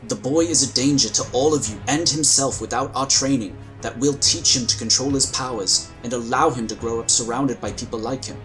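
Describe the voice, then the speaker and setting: Male actor as dutiful space-order agent, mid-pitched, measured, formal, earnest, music behind, sci-fi radio play. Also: a bit bright, close to an everyday voice, low-quality recording. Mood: earnest, solemn. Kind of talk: sci-fi audio drama acting.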